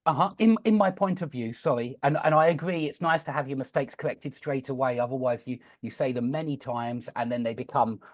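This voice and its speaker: A man's voice: mid-range voice, a little fast, hardly any pauses. Man teaching English, explaining patiently. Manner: friendly, explanatory, gently corrects mistakes. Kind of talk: online one-to-one lesson. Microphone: video call.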